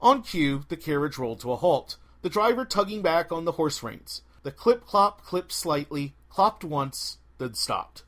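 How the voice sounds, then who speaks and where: A man's voice: on the high side, expressive, measured pace, few pauses, fairly bright tone. A man narrating scripted fiction as an audiobook reader, with a theatrical, wry delivery.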